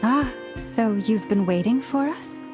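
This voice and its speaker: An actress playing a calm, formal priestess who speaks in a soft, measured way in a game cutscene. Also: female voice, a little high, over music.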